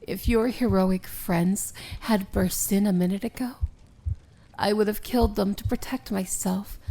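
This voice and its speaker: A woman's voice: mid-range voice, gravelly, mellow, poor audio. A woman playing a powerful, solemn and devoted evil princess in a romantic fantasy ASMR roleplay, speaking in formal, poetic phrases that often drop into a whisper. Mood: earnest, coaxing.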